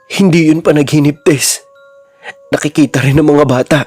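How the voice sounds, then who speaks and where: A man voicing an exhausted, sick husband who speaks in a weak, quiet voice in a horror story. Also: on the high side, steady tone, moderate pace, husky, soft background music. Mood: haunted.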